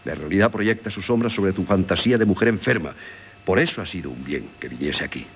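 Middle-aged Castilian husband, low voice with lively inflection, patient but wounded, hissy vintage radio drama. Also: male voice, fairly quick, slightly rough, full character voice. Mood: patient, reasoning. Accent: Castilian Spanish. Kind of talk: 1970s Spanish radio drama acting. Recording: hissy 1970s radio recording.

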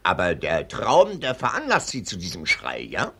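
Brief: pompous colonel, 1940s radio drama, husky edge, very animated clipped delivery. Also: male voice, sits low, moderate pace, exaggerated voice. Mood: puzzled, probing. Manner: pompous, patronising, barking, clipped. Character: pompous, blustering German colonel, brisk and condescending. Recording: dated, gritty 1940s radio recording.

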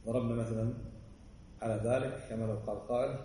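Fast-talking man teaching in an echoey room. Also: medium pitch, fairly even intonation, mellow, a little hiss or hum. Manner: checks understanding with quick asides. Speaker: religious teacher. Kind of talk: explanatory religious lesson. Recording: roomy, distant mic, faint ambience.

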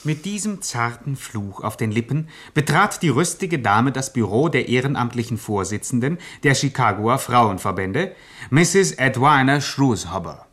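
Mid-pitched mock-formal male narrator. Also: animated, normal speed, a bit bright, husky. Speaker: second narrator.

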